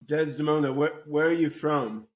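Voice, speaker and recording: A man hosting a casual podcast conversation, talking in a halting way with many repeated words and restarts. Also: on the high side. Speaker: podcast host.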